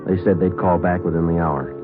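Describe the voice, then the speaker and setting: An actor playing a terse, businesslike police detective who also narrates, in a 1940s radio crime drama, clipped and matter-of-fact. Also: male voice, sits low, steady tone, fairly quick, natural voice. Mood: dry narration. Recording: narrow 1940s radio recording with music.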